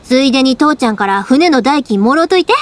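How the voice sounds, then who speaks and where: A woman voicing a cheerful young fireworks maker in a game, speaking with a Kansai accent and a teasing, warm-hearted manner. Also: high-pitched, animated, brisk, background noise. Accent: Kansai dialect. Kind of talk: video game voice acting. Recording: game audio with soft music.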